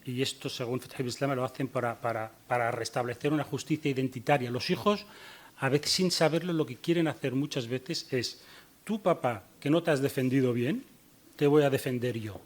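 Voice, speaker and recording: A man giving a short talk on a conference panel, hurrying to finish and reading passages aloud. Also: mid-range voice. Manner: hurried, reads quotes aloud. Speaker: panel speaker.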